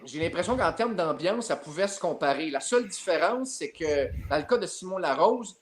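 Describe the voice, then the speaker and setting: A man with a Québécois French accent, a sports journalist guest telling a lively story in a podcast chat. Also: fairly high pitch, moderate pace, a little hiss or hum. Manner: lively, builds up his story with repeated tags.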